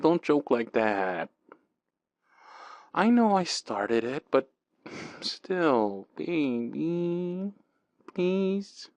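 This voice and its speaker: A man speaking as a boyfriend in an ASMR roleplay, soft, intimate and teasing, close to the microphone. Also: medium pitch, dry recording.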